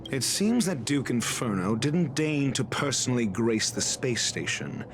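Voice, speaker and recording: A man voicing a self-assured, coolly commanding scholar in a video game, speaking in a measured, formal, deliberate way. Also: mid-range voice, sing-song, background noise. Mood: grave, confident. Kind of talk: scripted video game voice acting. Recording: loud game music behind.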